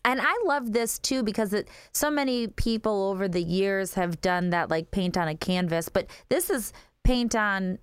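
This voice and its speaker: Host woman, upbeat, enthusiastic, smooth and resonant tone, dry booth sound. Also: medium pitch, moderate pace, few pauses, dry recording. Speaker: radio show host. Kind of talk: casual radio interview.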